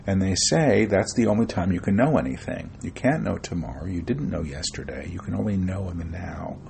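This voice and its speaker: A man's voice: deep voice, creaky, darkish tone, slight background noise. A middle-aged man talking as an interview guest about his spiritual work, rambling with frequent fillers and restarts. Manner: self-described shy and reserved. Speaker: guest, spiritual channeler and author. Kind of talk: conversational interview.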